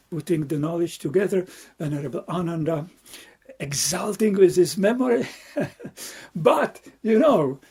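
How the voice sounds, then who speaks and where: Slow, breathy-voiced Czech man. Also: high-pitched. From Czech Republic. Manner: halting, searching for words, frequent soft laughs. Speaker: Buddhist monk and teacher. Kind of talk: reflective dharma talk.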